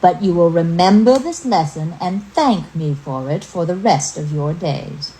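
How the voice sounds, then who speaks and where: A woman voicing a stern, self-righteous male country schoolteacher in a storybook tale, moralizing slowly. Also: on the low side, expressive, mellow, rough recording. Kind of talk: storybook character voice.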